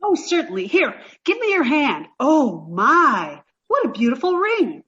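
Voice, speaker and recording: Woman playing a high-voiced, sweetly dim young woman. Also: wildly swooping pitch, unhurried, fairly bright tone, highs cut off, exaggerated voice. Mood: gushing, chatty. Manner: naive, scatterbrained, gushing, takes everything literally. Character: dim-witted, sweet, chatty young woman, earnest and literal. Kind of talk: comic old-time radio play acting.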